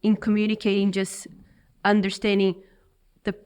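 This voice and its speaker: A woman's voice: mid-range voice, monotone, normal speed, darkish tone, clear, resonant tone. A woman from Brazil with a Brazilian Portuguese accent, a business owner speaking reflectively and expressively as an interview guest. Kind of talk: interview conversation.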